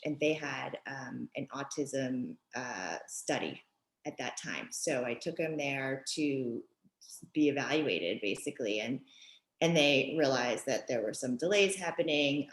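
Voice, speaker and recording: A middle-aged woman from Japan, talking thoughtfully in an interview with frequent pauses and ums. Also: very deep voice. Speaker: guest author and mother. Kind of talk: conversational interview. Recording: echoey room.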